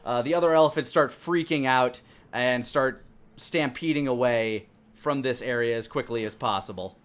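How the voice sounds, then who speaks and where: Male game master, mid-pitched with a sharp, bright edge, explaining the scene as he makes it up. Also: moderate pace. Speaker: dungeon master and host. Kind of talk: improvised narration of a tabletop game.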